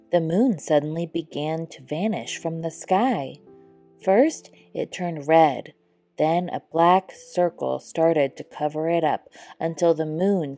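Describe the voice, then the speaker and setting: A woman reads a children's story aloud at an unhurried pace. Her voice is on the low side and soft-edged, with gentle, deliberate phrasing. Music plays behind her. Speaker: storybook narrator. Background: background music.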